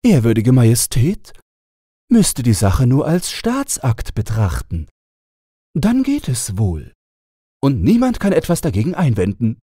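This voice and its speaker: A fawning, pedantic royal councillor from a fairy tale, played by a male narrator. The pitch is pushed up and swoops about, with a husky edge. He speaks formally and deferentially. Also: wildly swooping pitch, measured pace, dark tone, no room sound, clean studio sound, full character voice. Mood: persuading. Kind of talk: fairy-tale audiobook character voice.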